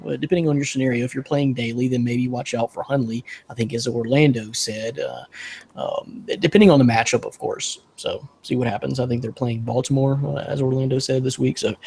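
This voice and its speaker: Husky man hosting a sports podcast. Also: mid-range voice, mellow. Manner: joking, teasing. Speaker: podcast host. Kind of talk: casual sports talk.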